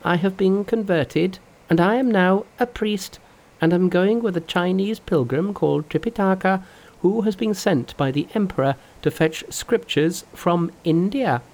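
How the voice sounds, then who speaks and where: A narrator voicing a boastful, fearless male monkey king in a storybook reading, loud and mocking. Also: medium pitch, unhurried, pitched above the performer's own voice, natural voice.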